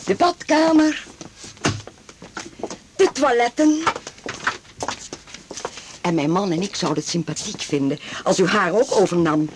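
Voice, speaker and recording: An actress playing a genteel, calculating doctor's wife in a radio drama, with affected, gushing sales chatter. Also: female voice, medium pitch, lively intonation, a little slow, long pauses, noisy background, close to an everyday voice. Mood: gushing, persuasive. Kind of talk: 1980s Dutch radio drama acting.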